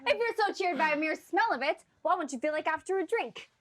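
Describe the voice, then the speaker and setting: A woman's voice: very high-pitched, expressive, a little fast, crowd noise. A woman acting a bossy, jolly troupe comedian with hammy, mock-grand delivery in a stage play. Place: stage performance.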